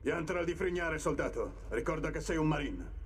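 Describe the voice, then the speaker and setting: A man acting as a stern, authoritative middle-aged military captain in a dubbed video game, speaking gravely and with command. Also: a little high, animated, normal speed, slight background noise. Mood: stern, urgent.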